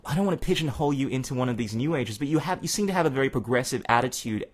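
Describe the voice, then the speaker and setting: A man's voice: mid-range voice, animated. A man hosting a podcast, talking casually with jokes and lots of agreeing interjections. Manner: fast, joking, affirming interjections. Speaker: podcast host.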